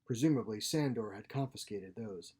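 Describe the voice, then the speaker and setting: A man's voice: on the low side, moderate pace, darkish tone, slightly rough. A man giving a scripted narration of a science fiction short story. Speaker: author reading own short story.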